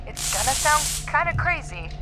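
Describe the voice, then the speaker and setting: A woman playing a calm, commanding rescue leader in a science fiction audio drama. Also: high voice, moderate pace, background noise. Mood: urgent, directing.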